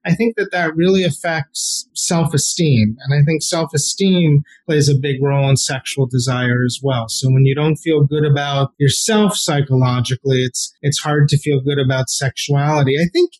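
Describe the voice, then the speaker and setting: A man's voice: fairly high pitch, steady tone, normal speed, hardly any pauses, darkish tone. A man answering interview questions, explaining at length with frequent repeated words and fillers. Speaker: sex therapist guest.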